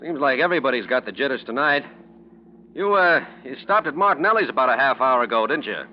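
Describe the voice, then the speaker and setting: An actor playing a talkative, bossy highway patrolman with a casual, slangy manner in a 1940s radio drama. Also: lively intonation, fast talker, lightly acted. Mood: breezy, probing. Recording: muffled, noisy 1940s radio recording.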